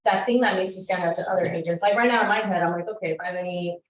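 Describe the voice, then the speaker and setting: A woman teaching a real estate class, chatty and energetic, with many fillers. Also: fairly low pitch, rapid-fire, a little hiss or hum. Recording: roomy classroom echo.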